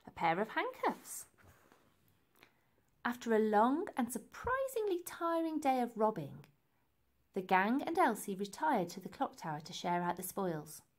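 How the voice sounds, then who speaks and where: A woman reading a children's book aloud in an animated way, with dramatic emphasis for young listeners. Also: on the high side, measured pace. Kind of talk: expressive storybook narration.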